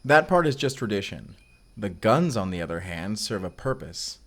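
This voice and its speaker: A man's voice: on the low side, big pitch swings, moderate pace, a bit bright. A man acting a mysterious vampire slayer, earnest and wryly awkward, in an intimate audio roleplay with casual, confiding delivery. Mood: matter-of-fact, wry. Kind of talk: cinematic audio roleplay acting, intimate one-sided dialogue.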